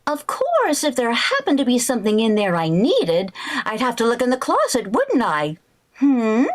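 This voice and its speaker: Lady acting a flighty scheming housewife, old radio sitcom style, high voice that swoops all over. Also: wildly swooping pitch, normal speed. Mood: coy, scheming. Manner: theatrical, exclamatory, mock-innocent.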